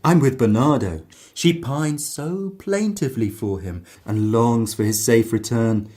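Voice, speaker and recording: A man's voice: medium pitch, unhurried, mellow, natural voice. A man playing a brainy, confident palace guard in a children's story, with eloquent, mock-Shakespearean delivery. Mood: grave, eloquent.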